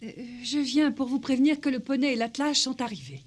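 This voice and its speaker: Husky, flustered servant woman. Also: sits high, brisk. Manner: stammering, nervous. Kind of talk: French cartoon dub acting.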